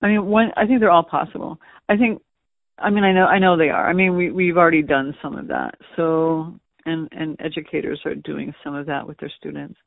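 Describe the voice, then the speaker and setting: A man with a slightly high, gravelly voice works through his thoughts aloud, pausing and restarting often. The sound is dry and tinny, like a phone line. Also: fairly even intonation, dry recording. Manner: thoughtful, hesitant, many restarts and fillers. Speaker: museum education staff. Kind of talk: conversational interview answer.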